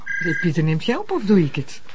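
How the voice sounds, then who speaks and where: An actress playing a sharp-witted, teasing woman in a detective drama, animated and with a Flemish accent. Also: fairly low pitch, a little fast, background noise. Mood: evasive. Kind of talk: naturalistic Dutch-language drama acting.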